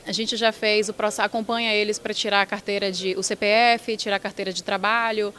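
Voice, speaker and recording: A woman's voice: medium pitch, little pitch movement, brisk, fairly bright tone. A woman, a university lecturer, explaining her project in an interview. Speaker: university lecturer, course founder.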